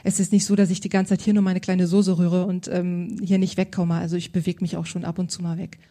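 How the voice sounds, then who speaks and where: A woman's voice: fairly low pitch, little pitch movement, brisk, mellow. A woman working as a style consultant, answering interview questions in an enthusiastic, colloquial way.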